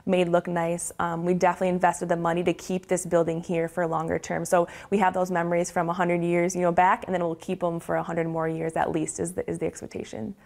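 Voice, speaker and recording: Fast-talking woman with a low, gravelly voice. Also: flat delivery, darkish tone. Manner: fast, earnest talk with some ums. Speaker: marketing director interviewed. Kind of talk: news interview.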